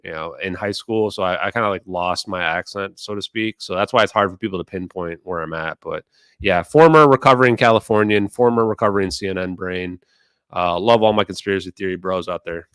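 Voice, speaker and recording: Earnest, bright-voiced man, dry sound. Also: deep voice, fairly quick, clear, resonant tone, no room sound. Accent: mid-Atlantic. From United States. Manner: earnest, rambling, swears casually. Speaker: streamer and guest speaker. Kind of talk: casual impassioned talk in an online audio room.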